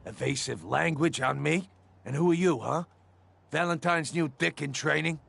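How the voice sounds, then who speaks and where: An actor playing a sneering small-time extortionist in a video game, a gravelly voice with taunting, slangy tough-guy talk. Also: fairly high pitch, normal speed, fairly bright tone, heavily acted. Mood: sneering, menacing.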